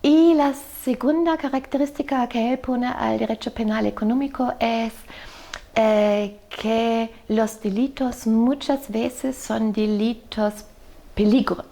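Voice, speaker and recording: A woman's voice: a little high, steady tone, vocal fry, dark tone. A middle-aged woman from Germany with a German accent, a law professor explaining in an interview, hesitant, with many fillers and self-corrections. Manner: halting, frequent fillers and self-corrections, says "so".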